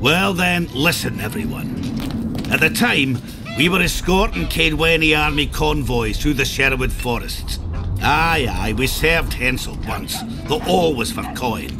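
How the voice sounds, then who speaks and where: An actor playing a crude, blustering, hearty dwarf warrior telling tales in a video game. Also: male voice, on the high side, lively intonation, unhurried. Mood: storytelling. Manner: gruff, colourful, blustering. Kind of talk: video game character acting. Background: music.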